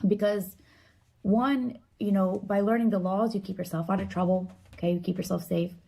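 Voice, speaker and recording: Woman, medium pitch, earnest, opinionated, halting delivery, casual explanatory monologue. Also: steady tone, creaky, mellow, poor audio.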